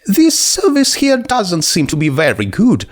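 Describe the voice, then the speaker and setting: A man voicing a cheerful, polite young female skeleton pony with a light, energetic voice. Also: a little slow, heavily acted. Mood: chirpy, polite. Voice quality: high, light. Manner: bubbly, full of energy.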